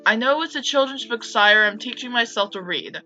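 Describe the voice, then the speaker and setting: A woman plays a shy young commoner from a visual novel, flustered and polite. She chatters nervously in a bright voice, with music behind her. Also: on the high side, lively intonation, normal speed, highs cut off. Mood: nervous pleading.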